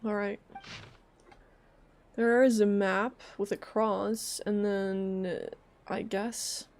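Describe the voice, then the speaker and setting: Informal, slower-paced woman streaming a game. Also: medium pitch, fairly even intonation, darkish tone. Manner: informal, playful, reactive. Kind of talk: casual live gameplay commentary.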